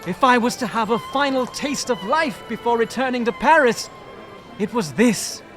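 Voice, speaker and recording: An actor playing an excitable, romantic young Parisian gentleman in an audio drama, with a grandiloquent, dramatic way of talking. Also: very high-pitched, moderate pace, soft background music. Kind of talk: audio drama acting, storytelling.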